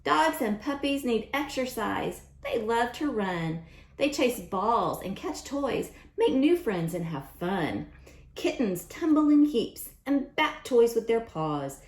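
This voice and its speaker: Slow, lively woman reading to children, roomy sound. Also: on the high side. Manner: sing-song, asks questions and repeats lines. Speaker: children's story reader. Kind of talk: read-aloud storytime for young children.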